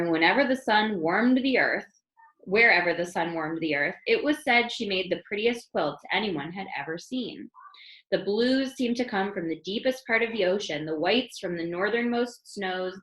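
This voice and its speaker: A woman reading a children's storybook aloud in an expressive storytelling style. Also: medium pitch, echoey room, poor audio. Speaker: storybook reader.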